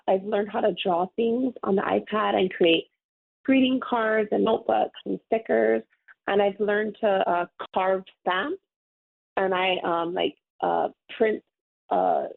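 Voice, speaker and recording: Giggly woman on a gravelly phone line. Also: mid-range voice. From Hawaii. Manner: friendly, rambling, giggly. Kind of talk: casual interview.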